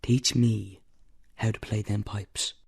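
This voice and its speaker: A man playing an eager, gravelly Irish fan of the pipes. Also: on the low side, flat delivery, slow pace, darkish tone, exaggerated voice. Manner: eager and wheedling, dreamy when describing the music. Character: Irish pipe fan, eager and a bit boozy.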